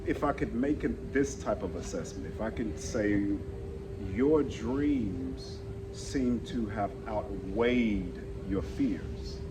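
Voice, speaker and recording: A male communication coach speaks as an interview guest, emphatic and measured, often giving affirming replies. His voice sits at an ordinary middle pitch, with clear ambient noise behind it.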